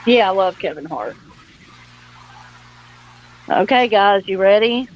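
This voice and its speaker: A woman's voice: mid-range voice, lively intonation, slow pace, slight background noise, rough recording. A woman hosting a casual livestream, chatty, folksy and welcoming. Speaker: livestream host.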